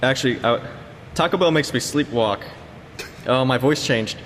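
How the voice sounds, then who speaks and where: A man's voice: medium pitch, moderate pace, a bit bright, natural voice. Man playing awkward physicist in a reverberant room. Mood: awkward, rambling. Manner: hesitant, stumbling, voice changing register. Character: Chad, nervous awkward male Yale physicist who sleepwalks. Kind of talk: improvised comedy skit. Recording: noisy, reverberant room recording.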